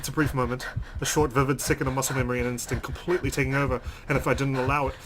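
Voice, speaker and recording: A man's voice: medium pitch, flat delivery, racing, lightly acted. A man reading aloud the part of a shaken, exhausted young woman driver in a horror visual novel, narrating dramatically. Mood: panicked. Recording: noisy home recording.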